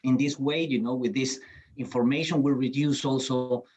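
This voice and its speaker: A man's voice: medium pitch, steady tone, moderate pace, darkish tone, breathy edge. A man from Peru speaking on a conference panel, hesitant, with many fillers and restarts. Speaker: panelist, certification program CEO.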